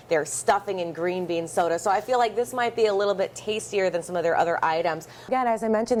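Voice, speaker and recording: A young woman reporting local news and weather for TV in an upbeat, chatty way, with a few fillers. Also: on the high side.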